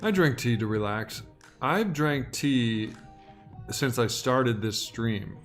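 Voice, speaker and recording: A man's voice: mid-range voice, slow, soft background music. Joking man hosting a live stream. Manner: playful, deadpan jokes, greets viewers by name.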